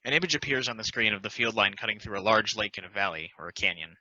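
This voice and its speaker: A man's voice: on the low side, animated, fast talker, bright, forceful tone, no echo. A man reading scripted stage directions as a narrator in a table read. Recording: dull, dry lo-fi microphone.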